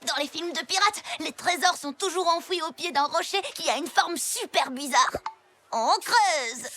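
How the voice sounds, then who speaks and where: A woman's voice: very high-pitched, normal speed. A woman voicing an eager, bossy young girl who leads her friends in a cartoon. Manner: eager, commanding, excitable. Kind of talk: French cartoon voice acting. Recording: cartoon soundtrack with clear music.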